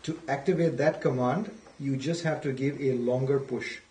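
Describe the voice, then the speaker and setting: A man's voice: medium pitch, fairly even intonation, moderate pace, dark tone. A man presenting a product demonstration, explaining step by step in a methodical, instructional way and repeating key points. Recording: roomy echo, distant microphone.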